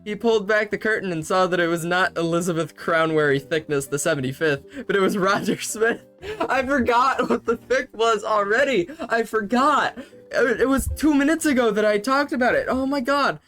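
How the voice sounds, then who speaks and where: A man's voice: sits high, normal speed, fairly bright tone, faint music behind. Sarcastic young man reading fanfiction aloud. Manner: sarcastic, breaks into laughter while reading. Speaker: host.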